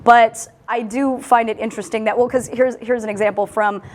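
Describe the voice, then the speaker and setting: A woman hosting a casual online news discussion, talking in an animated way and reading quotes aloud with a lift in her voice. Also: on the high side, brisk. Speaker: host.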